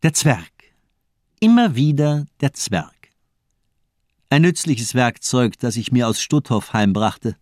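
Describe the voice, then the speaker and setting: A cold, menacing male doctor in a radio crime play. He speaks at a middle pitch in polished, mocking monologues, elegant and deliberate. The sound is very dry and close. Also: expressive, darkish tone, dry recording. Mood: coldly amused. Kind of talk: German radio crime drama acting.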